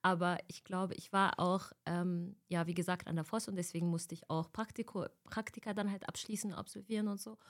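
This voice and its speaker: A woman's voice: fairly low pitch, fairly even intonation, a little fast, few pauses. Young woman from Egypt, twenties, casual interview chat, fillers, laughs often. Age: 20s. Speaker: interviewee, student.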